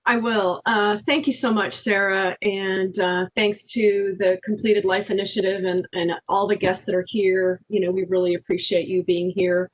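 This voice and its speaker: A woman's voice: medium pitch, level tone, moderate pace, hardly any pauses. A woman from Wisconsin, an end-of-life doula, giving earnest personal introductory remarks over a video call. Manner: earnest, personal, some restarts.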